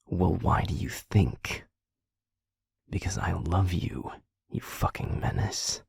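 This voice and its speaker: A man playing a gruff but tender, easily flustered young werewolf boyfriend in an intimate audio roleplay, speaking in a hushed, halting, husky voice. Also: low voice, no echo, studio quality, exaggerated voice. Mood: tender, exasperated. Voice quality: breathy, husky, gravelly. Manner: hushed, halting, grumbling affectionate swearing.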